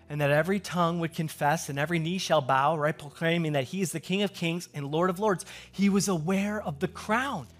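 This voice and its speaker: An American man in his forties preaching a conversational sermon as a pastor, animated and emphatic in his delivery. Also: fairly high pitch. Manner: animated, emphatic, swings between hushed asides and loud emphasis. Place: large church stage, indoors.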